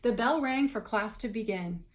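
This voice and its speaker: A woman's voice: a little high, normal speed, slight background noise. A woman reading aloud and explaining things to children in a conversational way, like a teacher. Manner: conversational, asks the listener questions while reading.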